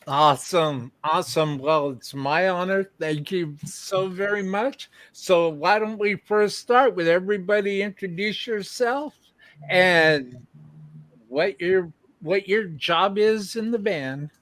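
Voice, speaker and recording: A man's voice: high voice, slow, a bit bright, no echo. A man hosting a live-streamed show, chatting casually with a band, reading out chat messages and laughing often. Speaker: livestream show host.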